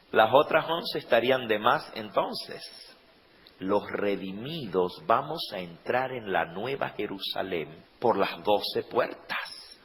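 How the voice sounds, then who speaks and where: Slow-preaching man in an echoing hall. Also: on the low side, slight background noise. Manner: rising to loud emphatic peaks, asking the congregation questions. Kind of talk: sermon. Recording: reverberant hall.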